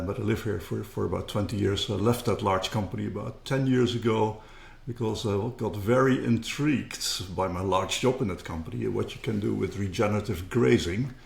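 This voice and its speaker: A man's voice: fairly low pitch, normal speed, gravelly, reverberant. A middle-aged man with a Dutch accent, talking earnestly as a guest in a casual interview and often repeating words as he starts a thought. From Netherlands. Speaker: guest, company co-founder.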